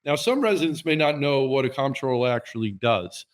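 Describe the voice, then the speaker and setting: A man's voice: mid-range voice, expressive, brisk. A man hosting an interview, friendly and upbeat as he welcomes and questions his guest. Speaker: interview host.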